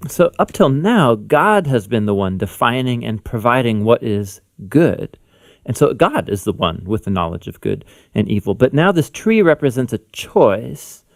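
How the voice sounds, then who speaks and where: A man's voice: medium pitch, big pitch swings, normal speed, vocal fry. A man co-hosting a Bible lesson, explaining things informally in conversational explainer narration. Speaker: Bible teacher, co-host.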